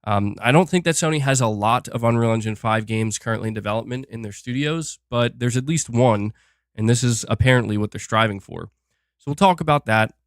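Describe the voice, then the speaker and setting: A man's voice: medium pitch, fairly quick, no room sound, studio quality. A man hosting a gaming livestream, chatting casually while sick and a bit nasal, rambling with fillers and restarts. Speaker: gaming channel host.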